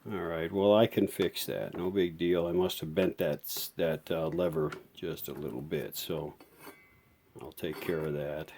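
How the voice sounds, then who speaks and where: A man giving a casual hands-on repair demonstration as a show host, thinking aloud in a folksy, unhurried way. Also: sits low, fairly even intonation, darkish tone, slight background noise. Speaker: host of a vintage audio repair channel.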